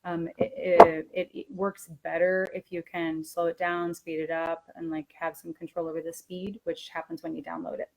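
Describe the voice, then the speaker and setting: Female teacher trainer, slightly low voice, informal and practical, talking through methods in a webinar. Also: flat delivery, moderate pace, creaky, few pauses, rough recording.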